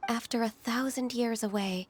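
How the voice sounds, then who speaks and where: A shy, melancholy young half-immortal woman from a fantasy game, played by an actress. Her voice is fairly high, polite and reserved. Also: steady tone, moderate pace, a little hiss or hum, close to an everyday voice. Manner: soft-spoken, polite, reserved. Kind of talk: scripted video game dialogue.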